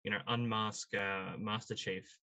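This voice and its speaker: A man hosting a panel discussion, giving opinions haltingly and asking emphatic rhetorical questions. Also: on the low side, fairly even intonation, sharp tone, smooth. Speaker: podcast host.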